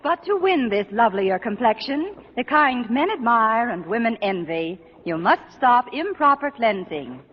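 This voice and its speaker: A woman's voice: a little high, big pitch swings, a little slow, few pauses, slight background noise. A woman reading a scripted vintage radio advertisement in an instructive, persuasive manner. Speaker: commercial announcer. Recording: muffled reverberant old radio recording.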